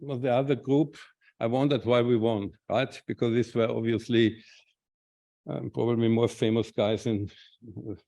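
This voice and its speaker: Halting Austrian man giving a talk. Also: medium pitch, steady tone, mellow. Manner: halting, many restarts and repeated words. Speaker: invited speaker, clinician researcher. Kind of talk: conference talk with slides.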